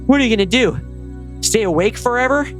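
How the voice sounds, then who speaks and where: A man's voice: very high voice, lively intonation, brisk, voice pushed up. A man voicing a menacing, jilted killer in a horror script, theatrical, bitter and possessive, over music. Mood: taunting. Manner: theatrical, anguished pleading and sneering.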